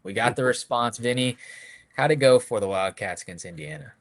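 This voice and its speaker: A man's voice: medium pitch, brisk, a bit bright. A man co-hosting a sports podcast, chatting casually and telling stories. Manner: conversational, storytelling. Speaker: podcast co-host.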